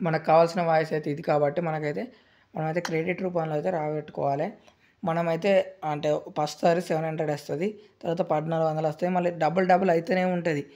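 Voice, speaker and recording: Rapid-talking gaming tutorial host. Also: male voice, a little high, flat delivery, a little mellow, muffled recording. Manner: rapid, repetitive filler-heavy explaining. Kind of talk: screen-recorded game tutorial.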